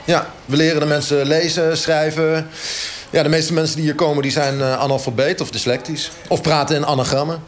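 A man playing a blunt, oblivious fitness coach who explains things haltingly and matter-of-factly in a mock radio interview. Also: fairly high pitch, flat delivery, faint music behind, close to an everyday voice. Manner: halting, hesitant, matter-of-fact.

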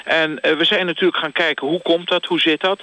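A housing-association spokesman in a satirical radio interview. His higher, forceful, bright voice stays earnest and deadpan while he hesitantly admits he has no drive at all. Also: brisk, no room sound. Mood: earnest, matter-of-fact. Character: unmotivated male housing-association spokesman, blandly frank. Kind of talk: mock radio news interview by phone.

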